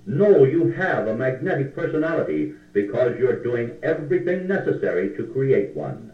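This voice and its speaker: A man reading scripted motivational self-help advice in a deliberate, instructional manner on an old cassette recording. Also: mid-range voice, sing-song, distant mic. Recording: tinny, band-limited, echoey 1960 cassette recording.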